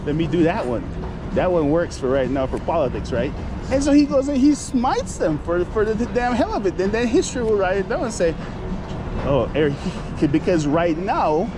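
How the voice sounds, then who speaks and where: A man's voice: high voice, sing-song, normal speed. A man with a Belizean accent arguing bluntly in a street debate, swearing freely as he makes his points. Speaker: vlogger. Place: busy street outdoors. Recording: loud street traffic noise.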